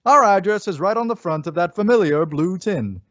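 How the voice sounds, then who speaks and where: Guy doing an old timey radio announcer, theatrical n wry, highish voice, dry muffled sound. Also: expressive, fairly quick, fairly bright tone, no room sound. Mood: promotional. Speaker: announcer and narrator. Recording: dull lo-fi recording.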